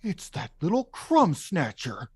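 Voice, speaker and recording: A woman playing a gruff, hot-tempered big lug of a man in an animated film table read. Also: fairly low pitch, takes it slowly, no room sound, studio quality, heavily acted. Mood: grumbling. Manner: grumpy, blustering.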